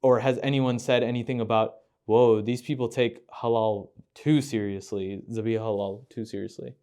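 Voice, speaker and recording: Smooth-voiced man asking winding questions. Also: mid-range voice, steady tone, moderate pace, dark tone. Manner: thoughtful, asks long, winding questions with self-corrections. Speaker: podcast host. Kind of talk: casual conversational interview.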